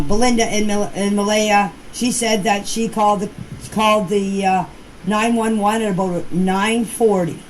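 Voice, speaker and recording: A woman's voice: mid-range voice, a little mellow. Plain-spoken woman on noisy voice message. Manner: emphatic, plain-spoken, repeats herself for emphasis; colloquial, non-standard grammar. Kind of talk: informal voice messages answering questions in a group chat. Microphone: voice clips recorded for a group chat. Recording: noisy informal voice-message recording.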